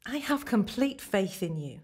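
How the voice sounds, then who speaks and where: A woman's voice: mid-range voice, very animated, measured pace, a little mellow, breathy edge. A woman playing an encouraging, slightly daft therapist in a radio play, earnest and reassuring. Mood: earnest encouragement.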